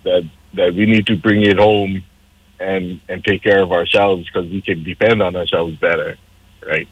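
A man's voice: low voice, little pitch movement, normal speed, husky, no room sound. A man from Hawaii running for council, speaking as a radio guest in an earnest, persuasive way. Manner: earnest, persuasive, civic-minded. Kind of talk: casual radio conversation. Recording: slightly dull lo-fi sound.

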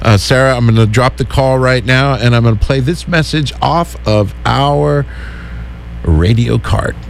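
A man hosting a community radio call-in show, talking in a relaxed, hesitant way with many fillers. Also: mid-range voice, a little slow, gravelly, a little hiss or hum. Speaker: radio host.

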